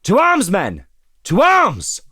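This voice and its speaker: A man voicing a proud, hot-tempered young army commander with commanding, forceful delivery in an audio drama. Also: sits high, wildly swooping pitch, slow pace, husky, no echo, clean studio sound, pitched above the performer's own voice, heavily acted. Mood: urgent shouting.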